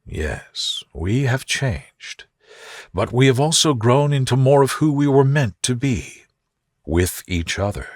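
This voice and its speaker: A man voicing a reserved, devoted gentleman in love, in a soft murmur for a bedtime story. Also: medium pitch, animated, slow, no echo, studio quality, pitched above the performer's own voice. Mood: reflective, fond. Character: devoted, humbled, reserved gentleman lover, Darcy. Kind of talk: soothing sleep-story character reading.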